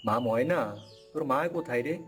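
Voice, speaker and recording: A man plays a poor farmer from a cartoon village tale. He grumbles but stays affectionate, in a medium-pitched voice. Soft music sits behind him. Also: brisk, natural voice. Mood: affectionate, everyday. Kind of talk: Bengali cartoon folk tale acting.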